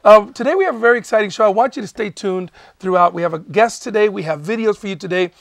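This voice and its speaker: High-voiced man hosting a TV show. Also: quick pace, vocal fry, no echo, clean studio sound, distant mic. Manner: enthusiastic, playful, jokey. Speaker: TV show host. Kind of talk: excited talk show chat. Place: TV studio.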